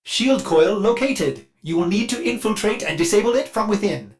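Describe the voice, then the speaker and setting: A man voicing an anxious, servile male ship computer in a video game, formal and halting, with synthetic processing. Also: high voice, lively intonation. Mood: urgent, alarmed. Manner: formal and obsequious, with halting stammers. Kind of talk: scripted video game character acting.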